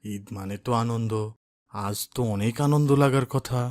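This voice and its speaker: A man playing a pious, kindly father in a cartoon, gentle and instructive with his son. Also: medium pitch, normal speed, no echo, studio quality, natural voice. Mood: gently instructive. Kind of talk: cartoon drama voice acting.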